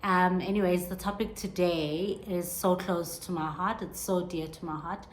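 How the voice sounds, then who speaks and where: A woman's voice: fairly low pitch, fairly even intonation, moderate pace, slight background noise. A woman in her thirties hosting a podcast, talking earnestly and reflectively on her own, with many restarts as she searches for words. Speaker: podcast host. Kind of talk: reflective solo monologue.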